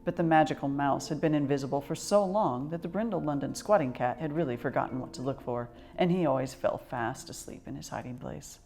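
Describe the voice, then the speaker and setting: A woman reading a children's picture book aloud as a storyteller, with expressive, measured delivery. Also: low-pitched, vocal fry.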